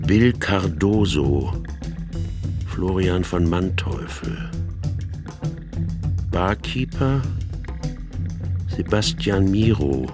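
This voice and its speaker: A man's voice: sits low, animated, extremely slow, long pauses. A man reading radio drama credits as a formal, scripted announcement. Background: guitar music.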